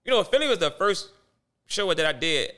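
A man's voice: sits high, big pitch swings, normal speed, pushed and bright. A man with a Baltimore accent chatting casually, swearing freely and often repeating words as he restarts phrases. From Baltimore, USA. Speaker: stand-up comedian, podcast guest. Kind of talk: casual round table chat.

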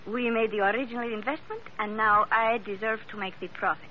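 A woman's voice: a little high, fairly even intonation, a little fast, close to an everyday voice. A woman playing a scheming foreign femme fatale with a Spanish accent, speaking in careful, formal phrases in an old-time radio drama. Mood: anxious, insistent. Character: sultry, scheming foreign woman, outwardly grieving and frightened. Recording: muffled, noisy old radio recording.

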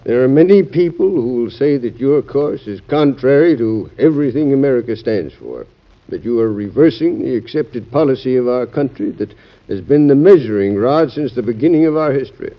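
An actor playing an urgent, cautioning Secretary of State in classic radio drama style, emphatic, formal and argumentative. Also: male voice, mid-range voice, lively intonation, normal speed, natural voice. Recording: dull band-limited old radio recording.

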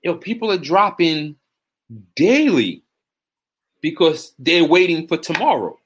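A man in his forties hosting a casual live stream as a fitness coach, giving shout-outs and advice with lots of repeated words. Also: a little high, animated, unhurried, slightly rough. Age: 40s.

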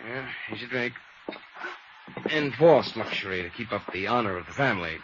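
A man's voice: on the low side, animated, normal speed, fairly bright tone. A man playing a well-spoken, resentful young heir in his twenties in a 1950s radio drama. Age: 20s. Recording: dull, noisy old radio recording.

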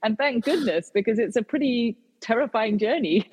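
A woman's voice: a little high, normal speed, darkish tone, slightly rough, in a large hall. A British woman, an author and guest, answering interview questions with many fillers and restarts. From Britain.

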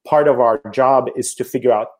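A man's voice: mid-range voice, steady tone, a little fast. A man in his thirties from Turkey, a theater producer, talking casually and reflectively with many fillers. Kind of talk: casual conversation.

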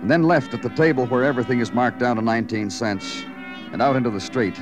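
An actor playing a terse, world-weary American police detective who also narrates in clipped 1950s radio noir style. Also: male voice, medium pitch, fast talker, music in the background, close to an everyday voice. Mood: weary, moody. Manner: clipped, staccato, hard-boiled.

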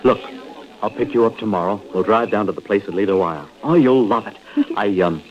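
A male actor plays a smooth-talking, two-timing husband in an old radio drama. His voice sits fairly low and he placates and persuades as he goes. The sound is muffled and lo-fi, like a vintage broadcast. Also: expressive, fairly quick, natural voice. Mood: tender, furtive. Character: smooth, evasive, cheating husband, middle-class businessman. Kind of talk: 1940s radio drama acting. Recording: dull, lo-fi old radio recording.

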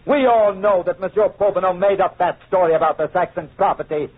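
High-voiced, smug accusing barrister. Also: male voice, a little fast. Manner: declamatory, insistent. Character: smug, accusing French chevalier acting as barrister. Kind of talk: old-time radio drama acting. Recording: tinny, narrow old radio recording.

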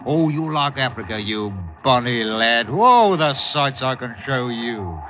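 A blustering, sharp-voiced mutineer sea captain. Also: male voice, medium pitch, expressive, a little slow. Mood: gloating, coaxing. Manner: hearty, blustering sailor talk, barking orders. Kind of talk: old-time radio drama acting. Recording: old radio recording with faint noise.

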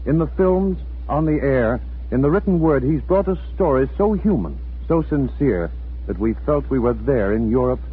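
Tinny 1940s radio announcer, animated husky man. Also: mid-range voice. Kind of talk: 1940s radio announcing, formal scripted introduction. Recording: old 1940s radio broadcast, thin tinny band.

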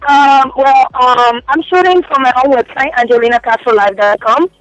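A woman's voice: high voice, moderate pace, a bit bright, no echo, faint music behind. A young woman from Cuba with a Spanish accent, talking frankly and giggling as a phone-in guest on a casual radio interview. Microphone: telephone. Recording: dull lo-fi phone line.

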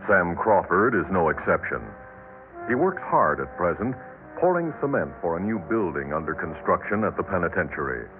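Male American announcer, lowish voice, measured formal narration, thin phone-like vintage radio sound, loud music. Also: big pitch swings. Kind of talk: scripted radio drama narration and credits. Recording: narrow, phone-like old radio recording.

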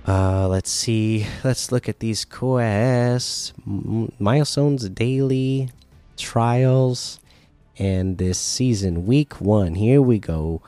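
Casting note: male podcast host, slightly strained voice, low mellow tone, plain list-reading delivery with lots of uhs, faint music underneath. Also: very slow, no echo. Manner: reads lists in an even, plain way with frequent uhs. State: losing his voice. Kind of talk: casual solo gaming podcast.

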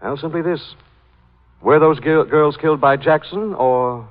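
An actor playing a suave, shrewd, courteous amateur sleuth in an old-time radio drama. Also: male voice, a little high, measured pace, natural voice. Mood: confident. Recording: tinny, phone-like old radio recording.

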